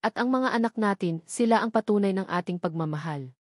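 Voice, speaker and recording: A woman's voice: mid-range voice, animated, brisk, a little mellow, no room sound, studio quality, lightly acted. Woman voicing a tender, devoted man in love. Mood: tender, reflective.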